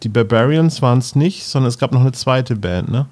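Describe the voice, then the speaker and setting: Informal man with a medium-pitched voice. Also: a little fast, a little mellow. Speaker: podcast co-host. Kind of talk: casual chat.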